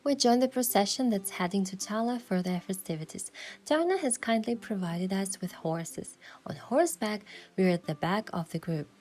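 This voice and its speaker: An actress playing a playful, determined young woman who narrates her romantic game adventure in a measured, expressive way. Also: mid-range voice, close to an everyday voice. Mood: narrating. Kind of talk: first-person story narration and dialogue.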